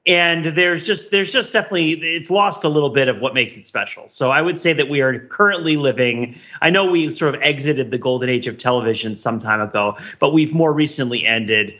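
Male podcast co-host, slightly high and bright, casual but mock-earnest, long rambling analysis, slightly muffled recording. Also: a little fast, few pauses. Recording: slightly dull, lo-fi audio.

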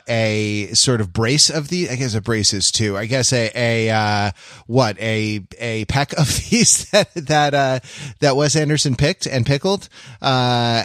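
A man hosting a casual podcast discussion, talking in a rambling, halting way and often repeating words mid-sentence. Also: medium pitch, a bit bright, no room sound, studio quality. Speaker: podcast host.

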